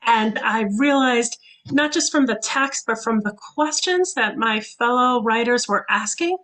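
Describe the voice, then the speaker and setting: A woman whose first language is Hebrew, a guest author talking animatedly on a video call with quick restarts. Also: a little high, fairly bright tone, poor audio. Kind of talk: casual panel discussion.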